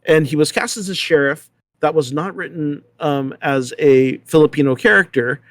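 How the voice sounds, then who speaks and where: A man's voice: a little high, no echo. A man speaking as a panelist in a discussion, thinking aloud with repeated words and checking in with "right?". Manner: halting, repeats words, seeks agreement with "right?".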